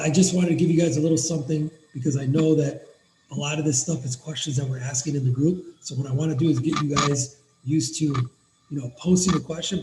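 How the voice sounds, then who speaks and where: A man with a raspy voice, talking quickly and energetically as he walks viewers through a software demo on a screen share. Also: a little high, reverberant, background noise. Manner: fast, energetic, addresses viewers as guys. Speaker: cleaning business owner giving a software demo. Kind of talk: casual screen-share tutorial.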